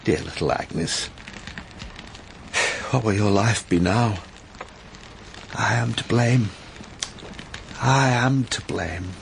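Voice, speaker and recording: An actor playing a gentle, remorseful middle-aged father in a period drama, halting and sorrowful. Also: lowish voice, vocal fry, a little hiss or hum. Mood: tender, remorseful. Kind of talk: period audio drama acting.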